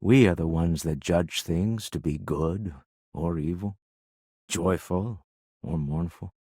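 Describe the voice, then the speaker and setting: An actor playing a wealthy, urbane older businessman in a video game, wry and composed, with husky, measured, refined speech. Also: sits low, warm tone, dry recording, clean studio sound, heavily acted. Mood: solemn. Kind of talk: scripted video game character dialogue.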